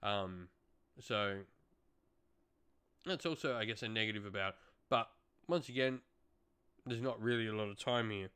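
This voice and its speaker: A man's voice: fairly low pitch, normal speed, long pauses, a bit bright, smooth. A young adult man giving a casual, talking-to-camera review as a channel host, recorded indoors in a home room.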